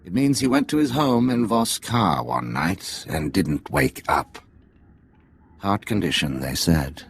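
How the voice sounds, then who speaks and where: A man playing a jaded middle-aged undercover spy in a video game, with a gravelly, husky voice and a sardonic, deliberate manner. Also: low-pitched, very animated, slight background noise, exaggerated voice. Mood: wry briefing. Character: jaded male Imperial spy posing as alien tea house owner, middle-aged father. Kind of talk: cinematic video game dialogue.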